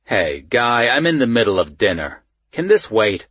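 A man voicing a gruff, short-tempered police sergeant with a Baltimore accent, blunt, profane and impatient, in an audiobook. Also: on the low side, sing-song, normal speed, fairly bright tone, no room sound, voice pushed up, exaggerated voice. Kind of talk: audiobook character dialogue.

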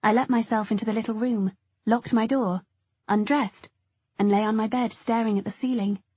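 A woman's voice: a little high, steady tone, normal speed, darkish tone, husky, no room sound. A woman reading scripted first-person narration from a novel as an audiobook narrator.

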